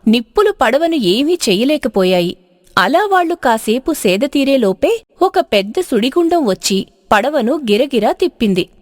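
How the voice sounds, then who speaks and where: A woman's voice: high-pitched, sing-song, moderate pace, dry recording, clean studio sound. A woman narrating a scripted moral story in a dramatic storytelling style.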